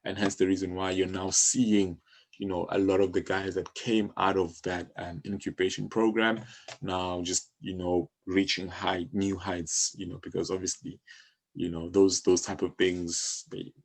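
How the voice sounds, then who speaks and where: A man's voice: sits low, level tone, a little slow. A man speaking casually as an interview guest, explaining things in an encouraging way and often saying "you know". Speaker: music publisher, podcast guest.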